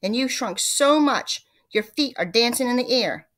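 A woman voicing a nasty trickster husband from a children's storybook, taunting loudly and emphatically with gleeful menace and mock concern. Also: medium pitch, moderate pace, lightly acted. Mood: gleeful shouting. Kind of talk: acted children's storybook reading.

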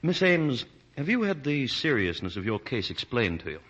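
A man's voice: mid-range voice, sing-song, moderate pace, fairly bright tone, breathy edge. An actor playing a grave, courteous, firm ship's doctor, a man in a 1940s radio drama. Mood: grave, concerned. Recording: muffled vintage 1940s radio broadcast.

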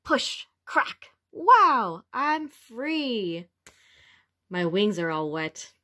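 A cheerful little storybook caterpillar as read by a woman. The delivery is slow and very expressive, with lively swings in pitch and simple wording for small children. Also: exaggerated voice. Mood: excited. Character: cheerful young caterpillar becoming a butterfly, storybook narrator.

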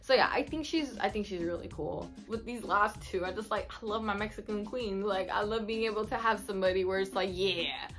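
A woman in her twenties, a native Spanish speaker, chatting casually to camera in an expressive, slangy way with Spanish words mixed in. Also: medium pitch, brisk, hardly any pauses. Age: 20s. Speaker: vlogger. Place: small bedroom indoors. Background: instrumental music.

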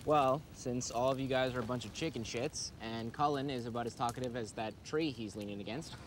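A man playing a cocky, wisecracking guy who tells friends a crude, slangy tale with dramatic pauses. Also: medium pitch, background noise, lightly acted. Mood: teasing, wry. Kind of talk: casual storytelling in a film-style drama. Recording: music behind the voice.

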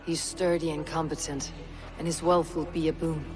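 An actress playing a steady, blunt female Viking warrior in a video game, speaking in a measured, deliberate way. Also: low voice, close to an everyday voice. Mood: pondering.

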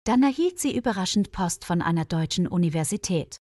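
A woman reads a scripted story for language learners, phrasing each sentence clearly. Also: lowish voice, expressive, a little fast, a little mellow, dry recording, studio quality. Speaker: narrator of language-learning stories. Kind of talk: scripted narration.

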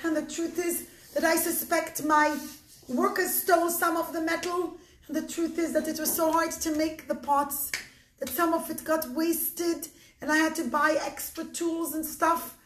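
A woman plays a worried merchant from a folk tale, pleading and exclaiming in a high voice. The telling is fretful and urgent. The room echoes, with faint noise behind. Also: level tone, unhurried, a bit bright. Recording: roomy echo, faint noise.

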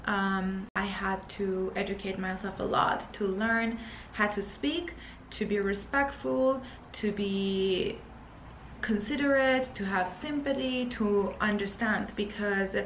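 Female lifestyle vlogger, thirties, medium pitch, earnest and hesitant, emotional personal address, echoey room sound. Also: a bit bright. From Iran. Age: 30s. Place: indoors, living and dining room at home. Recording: roomy echo, faint background noise.

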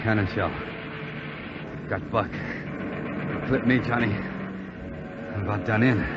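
A man in a 1940s radio drama playing a wounded, fading bomber pilot who gives halting, steady commands. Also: fairly low pitch. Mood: pained, urgent. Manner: halting, terse instructions. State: weak, labored, fading. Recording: noisy muffled 1940s radio broadcast recording. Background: loud aircraft engine noise.

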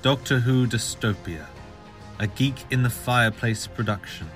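A man's voice: medium pitch, normal speed, music in the background. A man reading scripted narration for an audio drama.

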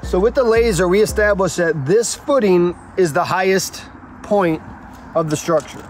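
A man's voice: sits high, moderate pace, soft background music. A middle-aged man explaining construction steps casually, with slangy, joking banter, outdoors on a building site. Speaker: builder and video co-host. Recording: noisy outdoor site sound.

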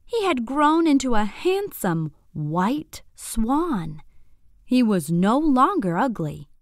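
A woman narrating a scripted bedtime story for young children in an expressive, deliberate, clearly phrased way. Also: fairly high pitch, no room sound, clean studio sound.

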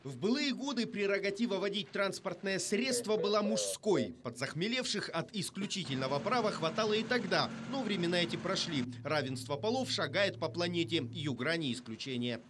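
A man's voice: sits high, lively intonation, normal speed, hardly any pauses, very noisy. A male reporter reading a scripted news voice-over with a wry, ironic touch.

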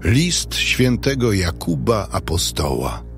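A man's voice: on the low side, big pitch swings, slow pace, gravelly, soft background music. A man announcing titles formally, as the announcer of an audio production.

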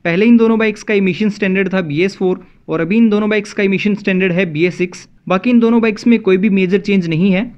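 A man's voice: sits high, fast talker, few pauses, a little mellow. An Indian man narrating a scripted video as a motovlog host, addressing viewers as friends in a dull, slightly lo-fi voice-over.

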